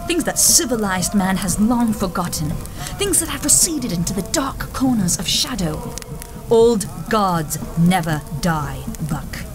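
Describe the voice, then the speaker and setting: An actress playing a grave, mystical companion who warns of old gods in a radio play. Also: female voice, mid-range voice, sing-song, a little slow. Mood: solemn. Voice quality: solemn, ominous. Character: grave, mystical woman companion, jungle guide. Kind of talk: 1930s-style radio drama acting. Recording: radio play with music behind.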